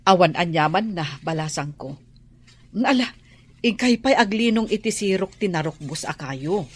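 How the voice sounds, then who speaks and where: A woman's voice: fairly low pitch, lively intonation, moderate pace, husky. A woman playing a kindly parlor owner in an Ilocano radio drama, with an Ilocano accent. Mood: concerned, kindly.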